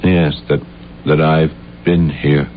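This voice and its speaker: An actor playing an unstable, deluded man in an old-time radio drama, halting, stammering and repeating his words. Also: rumbling low, level tone. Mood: confused, timid. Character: unstable, deluded playwright who insists he killed his uncle. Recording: muffled, hissy 1970s radio recording.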